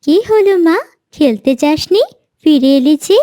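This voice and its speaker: A woman's voice: high voice, lively intonation, measured pace, warm tone, no room sound, studio quality. A woman voicing a poor, protective mother bird in a children's cartoon, earnest and motherly with a stern edge. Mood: concerned.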